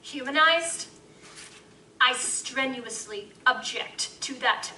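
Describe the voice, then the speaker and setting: A woman's voice: high voice, slow, sharp tone, full character voice. A woman playing an indignant, vigorous lady advocate with strident, formal speech in a live audio drama. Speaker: stage actor. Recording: roomy, noisy live stage, distant mic.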